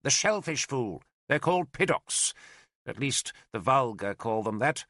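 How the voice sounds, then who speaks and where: A man's voice: medium pitch, animated, a little slow, pushed and bright, no room sound, studio quality. A male narrator voicing an old, vain and cantankerous wizard who mocks and lectures in a sardonic way. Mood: scornful. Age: older. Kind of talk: audiobook character voice.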